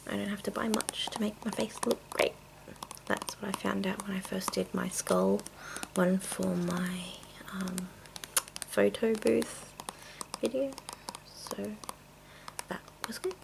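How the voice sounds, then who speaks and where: A woman chatting casually in a soft, mostly whispered ASMR style, rambling along, with tapping and clicking from small containers. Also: mid-range voice, steady tone, slow pace, creaky, long pauses. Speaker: video creator and host. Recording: noticeable noise, clicking sounds. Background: tapping and clicking of makeup containers.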